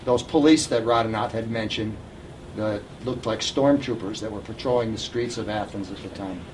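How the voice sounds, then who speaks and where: A man's voice: on the low side, moderate pace, far from the mic, background noise. An older man telling informal anecdotes to a live audience, recorded at an event. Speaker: guest storyteller at a reunion event.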